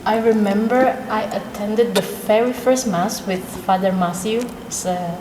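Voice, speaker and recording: A woman's voice: mid-range voice, steady tone, a little slow. A woman with an Indonesian accent recounting, in simple phrases, how her church choir started. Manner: plain storytelling, simple phrasing. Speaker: parishioner, choir organizer. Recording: roomy echo with clear background noise.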